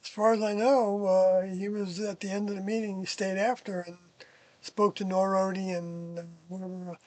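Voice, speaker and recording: An older person reminiscing casually about past experiences, hesitant and pausing often while searching their memory. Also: medium pitch, little pitch movement, a little mellow, dry recording, poor audio. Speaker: interviewee, former member of a group recalling past experiences.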